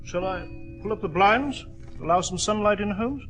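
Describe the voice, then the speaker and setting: An actor playing a loyal, easily astonished English detective's companion in an old-time radio drama, excitable and questioning. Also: male voice, sits high, normal speed, soft background music. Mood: uneasy.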